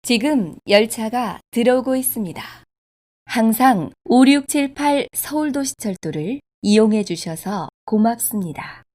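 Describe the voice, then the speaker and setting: A woman reading scripted subway station announcements in a formal, polite, measured way. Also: on the high side, lively intonation, warm tone. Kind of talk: scripted public transit announcement.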